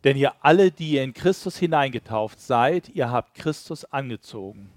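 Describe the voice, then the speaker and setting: A man's voice: mid-range voice, expressive, moderate pace. A man interpreting a sermon live, rendering it phrase by phrase after the preacher.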